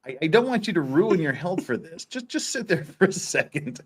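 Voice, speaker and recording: A man hosting a casual comic read-through, a little halting, slipping between reading aloud and jokey asides. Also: a little high, big pitch swings, breathy edge. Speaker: host.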